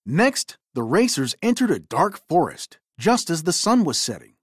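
A woman reading a children's storybook aloud in an expressive, theatrical way. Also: on the low side, normal speed, breathy edge, no room sound, studio quality. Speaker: storybook reader.

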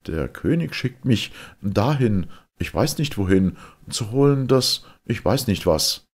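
A put-upon young huntsman in a fairy tale , voiced by a man. He is earnest and plain-spoken, his husky voice lifted and animated. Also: on the low side, measured pace, pitched above the performer's own voice. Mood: troubled.

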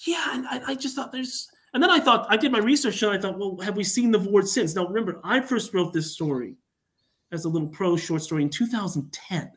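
Guy on an online fan podcast, chatty and animated, explains stuff by voicing his own thoughts. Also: high-pitched, fairly quick. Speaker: podcast guest, fan writer. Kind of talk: enthusiastic panel chat. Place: remote online call.

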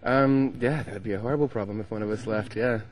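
Low-voiced young American musician. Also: male voice, fast, a little mellow, low-quality recording. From United States. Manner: hesitant, lots of ums and restarts. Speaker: band member. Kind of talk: casual interview. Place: indoor festival lounge.

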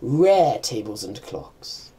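A man as a prim, animated brother. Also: medium pitch, slow, husky, heavily acted. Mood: earnest. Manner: stammering, earnest. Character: prim, inept, fussy upper-class brother running antique shop. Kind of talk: comedy radio play acting.

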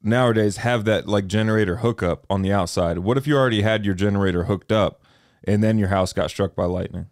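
Young man, low voice, dry close sound, laid-back co-host. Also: steady tone, fairly quick, darkish tone, no echo. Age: young adult. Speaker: co-host, the host's son. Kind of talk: casual podcast chat. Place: small podcast studio, indoors. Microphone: studio mic on boom arm.

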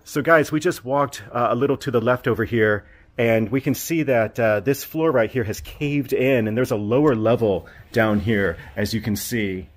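A man's voice: medium pitch, moderate pace. A man narrating a travel vlog and chatting as he walks through a ruined fort outdoors, filming with a handheld camera. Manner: chatty, explains as he walks, laughs now and then. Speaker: travel vlogger. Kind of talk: travel narration and walking tour commentary.

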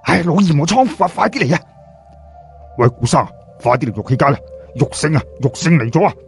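Man putting on an excitable, high-pitched jade trader. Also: soft background music. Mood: urgent gossip. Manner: urgent, shouting, drawn-out calls. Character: excitable middle-aged male jade trader spreading news by phone.

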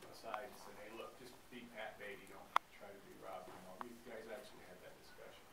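A man's voice: on the low side, fairly even intonation, quick pace. Rambling male reporter, distant and echoey. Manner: long, winding questions with asides. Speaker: sports reporter. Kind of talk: press conference questions. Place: press conference room. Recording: roomy, distant camcorder with room noise.

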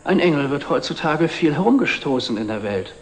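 Witty urbane antiques dealer, old 60s tv drama, very lively pitch, slight hiss. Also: male voice, fairly quick. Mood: wry, amused. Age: middle-aged. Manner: wry, polished sales patter. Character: dry, witty, urbane middle-aged male antiques dealer. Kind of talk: 1960s German TV drama acting. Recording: old TV film, faint noise.

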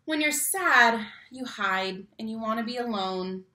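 Young woman, children's read-aloud host. Bright, expressive delivery with emphatic key words, roomy home recording. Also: on the high side. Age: young adult. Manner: animated, slow emphatic phrasing on key words, addresses children directly. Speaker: read-aloud teacher and storyteller. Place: small room at home, indoors. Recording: roomy home webcam sound.

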